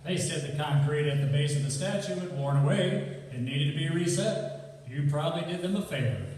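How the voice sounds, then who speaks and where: A man's voice: a little high, fairly quick, darkish tone, close to an everyday voice. A man playing a reassuring, plainspoken friend in a stage radio play. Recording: echoey hall, distant mic.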